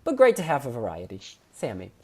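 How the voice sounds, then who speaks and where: A man hosting a casual review chat, sharing opinions in an expressive way with emphatic phrasing. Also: medium pitch, brisk. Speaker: host reviewing song contest entries.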